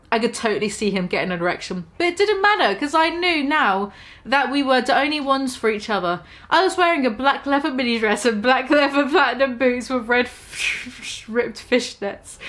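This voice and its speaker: A woman in her twenties reads fan fiction aloud in a fairly high voice. Her delivery is mock-dramatic and exaggerated, with a sarcastic edge, as a vlogger performing to camera in a small room. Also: fairly bright tone. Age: 20s.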